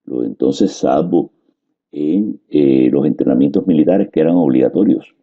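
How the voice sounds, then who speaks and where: Very deep gravelly Cuban man. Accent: Cuban Spanish. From Cuba. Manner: unhurried, reflective, with frequent hesitations. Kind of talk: personal monologue. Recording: dull lo-fi recording.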